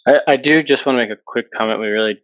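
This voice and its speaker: A man leads a relaxed podcast discussion, working through his thoughts out loud and repeating words as he goes. His voice sits at an ordinary pitch, and the recording sounds a little dull and lo-fi. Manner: thinking aloud, halting with repeated words. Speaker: podcast host. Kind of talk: casual discussion.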